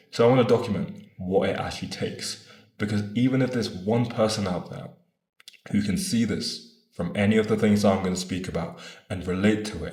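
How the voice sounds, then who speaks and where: Young man, very low voice, earnest rambling vlog talk, casual swearing, echoey room. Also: a little mellow. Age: 20s. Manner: earnest, rambling, often adds "right?". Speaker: vlogger. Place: room in Bogota.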